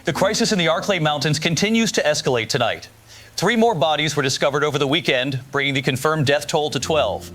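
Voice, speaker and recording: Male news anchor, acted for audio drama: composed, formal, brisk bulletin delivery over music. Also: a little high, fairly bright tone, natural voice. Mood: composed, serious. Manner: formal, measured newsreader delivery.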